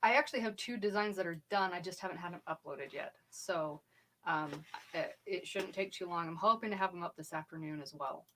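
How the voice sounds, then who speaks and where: A woman's voice: on the low side, a little fast, fairly bright tone, a little hiss or hum. A woman co-hosting a casual livestream chat, talking in an easygoing, chatty way. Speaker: co-host.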